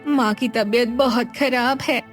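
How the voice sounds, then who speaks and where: A woman's voice: on the high side, steady tone, unhurried, faint music behind, close to an everyday voice. A woman playing an anxious young village wife in a cartoon moral story, plaintive and sorrowful. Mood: alarmed. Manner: plaintive, worried.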